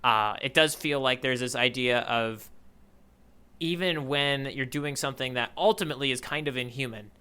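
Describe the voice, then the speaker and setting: A man co-hosting a podcast in casual discussion, thinking out loud in a halting way and rephrasing himself as he goes. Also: medium pitch, clean tone. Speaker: podcast co-host.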